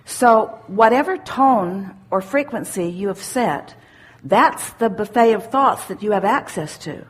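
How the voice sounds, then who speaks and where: A woman speaking as a spiritual teacher at a live seminar, answering questions in a deliberate, didactic way with emphatic pauses. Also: medium pitch, expressive, husky, low-quality recording.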